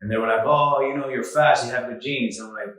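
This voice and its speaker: A man who grew up in the Dominican Republic, hosting a podcast and telling stories about his past in an earnest, animated way. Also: mid-range voice, fairly quick, far from the mic. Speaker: podcast host. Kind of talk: casual chat, storytelling. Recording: echoey room, slightly off mic.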